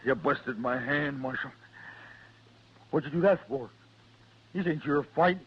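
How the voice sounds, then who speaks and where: An American actor playing a bitter, aggrieved gunfighter bully in an old radio western. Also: male voice, medium pitch, a little slow, slightly rough. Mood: pained, resentful. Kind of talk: 1950s radio western acting. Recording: dull old radio recording.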